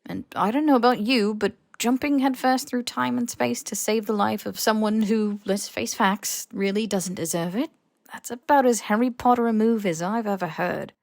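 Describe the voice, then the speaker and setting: A man's voice: sits high, normal speed, vocal fry, dry recording. A woman voicing a blunt, loyal young wizard pal. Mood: wry, frank.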